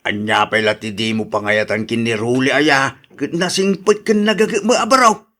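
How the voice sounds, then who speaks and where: A man's voice: mid-range voice, moderate pace, bright, forceful tone, close to an everyday voice. A man with a Filipino accent playing a stern, hot-tempered father who scolds emphatically in a radio drama. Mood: stern, pressing. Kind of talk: Ilocano radio drama acting.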